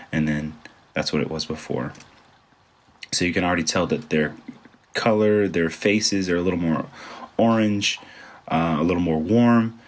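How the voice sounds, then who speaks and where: A man's voice: low-pitched, fairly bright tone, breathy edge. A man with a congested voice, a photographer casually talking through a photo edit step by step and thinking aloud as he works. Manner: thinks aloud while working, informal and chatty. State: congested from allergies. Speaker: photographer teaching photo editing. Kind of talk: casual screen-recorded tutorial.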